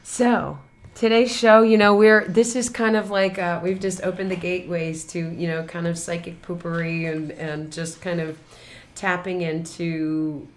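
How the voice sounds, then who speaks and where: A woman's voice: on the low side, little pitch movement, a little slow. A woman radio host with a bubbly, rambling manner, laughing at herself as she introduces her guests. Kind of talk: casual radio chat. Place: radio studio.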